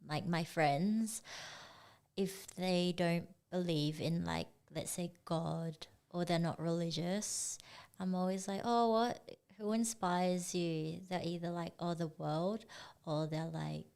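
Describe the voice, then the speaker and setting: Young woman, low voice, slow relaxed talk, casual interview guest, lots of fillers. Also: vocal fry, clear, resonant tone. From Singapore. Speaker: guest artist.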